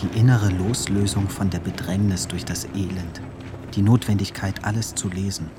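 An actor playing a solemn voice, reciting poetic radio play phrases in a clipped way. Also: male voice, deep voice, steady tone, normal speed, lightly acted. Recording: clear vehicle and ambient noise.

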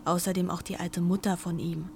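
A woman's voice: fairly low pitch, little pitch movement, fairly quick, gravelly, a little mellow, slight background noise. An older woman, a former housemaid, recalling the past in an archival interview in a matter-of-fact way.

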